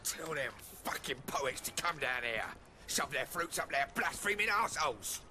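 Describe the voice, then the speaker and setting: An actor playing a crude, foul-mouthed, menacing thug in a video game. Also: male voice, a little high, expressive, moderate pace, noisy background, natural voice. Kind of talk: video game dialogue acting.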